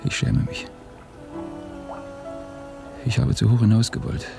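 A man acting in a German radio drama as an ashamed, despairing Russian revolutionary, halting and confessional, often hushed. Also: mid-range voice, flat delivery, creaky. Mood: anguished, hushed. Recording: studio radio play with music behind.

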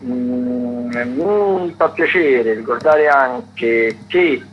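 A man's voice: medium pitch, extremely slow. Muffled guy doing an events roundup on radio, hesitant and rambly, slight hum. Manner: hesitant, digressive, frequent self-corrections. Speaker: theatre critic, radio contributor. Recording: dull radio link with faint hum.

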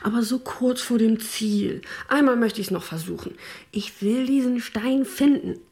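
Radio drama determined mystic-minded woman: fairly high voice, breezy, insistent. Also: unhurried, a little mellow. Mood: determined, longing. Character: headstrong esoteric woman, teasing and obsessively determined. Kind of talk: German radio drama acting.

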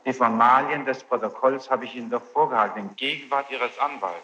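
A man's voice: medium pitch, steady tone, a little fast, pushed and bright. A man formally and insistently questioning a witness as a legal official in court. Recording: muffled echoey archival tape, faint noise.

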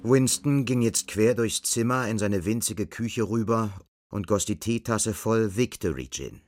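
Man as narrator of a dystopian radio play, really deep voice, sober and matter of fact. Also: measured pace, dry recording, studio quality, close to an everyday voice. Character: sober male storyteller of a bleak dystopian tale. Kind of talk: scripted literary radio drama narration.

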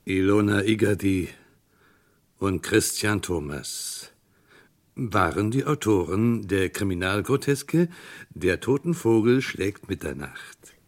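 Low, slow male radio announcer. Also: lively intonation. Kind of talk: slow scripted 1960s radio credits.

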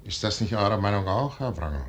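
An actor playing a proud, brooding middle-aged general in a 1950s radio play, declaiming in a weighty, solemn stage manner. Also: male voice, animated. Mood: guarded, probing. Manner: declamatory, weighty, solemn delivery with measured pauses. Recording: noisy 1950s radio recording with rumble.

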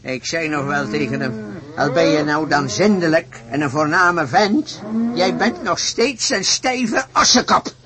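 Man playing a blustering, high-pitched indignant old farmer. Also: unhurried, crowd noise, close to an everyday voice. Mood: aggrieved. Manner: blustering, stammering, repeats himself. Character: gullible, stubborn, indignant rich old farmer. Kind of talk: radio play acting.

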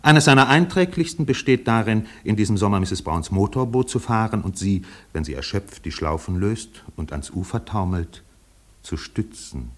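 An actor playing a wry narrator in a literary radio play, telling it in a measured, lyrical way. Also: male voice, fairly low pitch, lively intonation.

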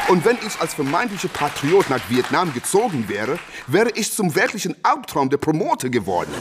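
An actor playing a defiant, cocky American boxing champion in a German radio play, boastful and emphatic. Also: male voice, on the high side, noisy background. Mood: boastful, bitter. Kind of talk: German radio play acting, interview monologue.

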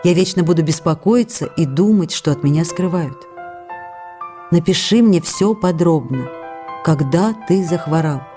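A woman's voice: on the low side, measured pace, dark tone, lightly acted. A woman playing a loving, worried actress wife who reads her letters in a radio drama. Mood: anxious, reproachful. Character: loving, anxious actress wife, tender and reproachful. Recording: music playing behind the voice.

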